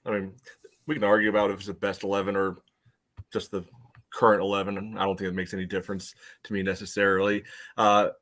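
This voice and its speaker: This is a man with a lowish voice who speaks bluntly and sardonically. He swears casually and gets straight to his point. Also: completely flat delivery, fast talker, fairly bright tone. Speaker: podcast co-host. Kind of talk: casual sports podcast chat.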